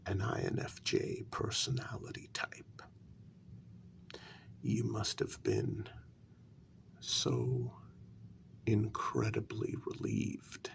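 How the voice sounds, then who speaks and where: Guy doing a hurt, quietly threatening mortal in a vampire asmr roleplay, lowish breathy gravelly murmur, lots of slow pauses. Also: exaggerated voice. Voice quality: breathy, gravelly half-whisper. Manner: slow, deliberate, pausing between phrases. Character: human man confronting his vampire queen, wounded and quietly menacing. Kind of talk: ASMR role-play, soft-spoken.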